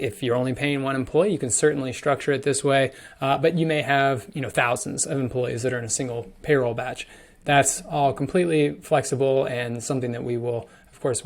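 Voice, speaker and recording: A man presents a software demonstration in a conversational way, with a mid-range voice. He explains things step by step like a product trainer, leaning on key words as he talks. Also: medium pitch. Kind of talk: screen-share software demo, explanatory walkthrough.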